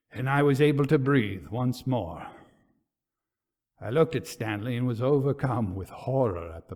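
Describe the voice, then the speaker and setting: Expressive male ghost story narrator. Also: medium pitch. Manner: theatrical, deliberate, suspenseful. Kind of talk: dramatic reading of a gothic ghost story.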